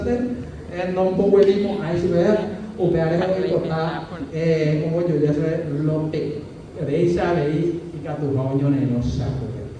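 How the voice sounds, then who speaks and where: High-voiced man in an echoey room. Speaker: student defending his thesis. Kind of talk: formal academic presentation. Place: university lecture room. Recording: echoey room, mic far off, faint hiss.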